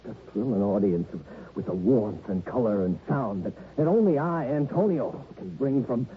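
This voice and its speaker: Actor as a theatrical, vain young violinist, tinny radio. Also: male voice, medium pitch, expressive, normal speed, natural voice. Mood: fervent, vain. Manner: theatrical, impassioned, self-pitying. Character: temperamental young male violinist, vain, confessed killer. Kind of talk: old radio drama acting. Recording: tinny old radio broadcast, faint noise.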